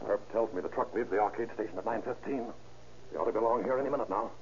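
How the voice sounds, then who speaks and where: An actor playing a curt, commanding American mail robber in a 1930s radio crime drama. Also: male voice, on the low side, very fast, close to an everyday voice. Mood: watchful. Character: bossy, hard-boiled male mail robber giving orders. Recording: noisy, muffled old radio recording.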